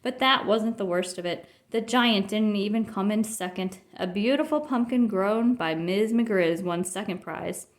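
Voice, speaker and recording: A woman reading a children's picture book aloud as an expressive storyteller. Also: mid-range voice, a little slow, few pauses. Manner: expressive, acts out dialogue.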